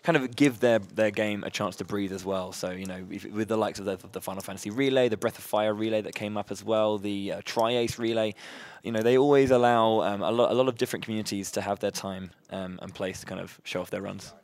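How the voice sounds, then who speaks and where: A man hosting a live charity gaming marathon, loud-voiced and upbeat, chatting between runs with many fillers and restarts. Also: fairly low pitch, steady tone, fairly quick, few pauses.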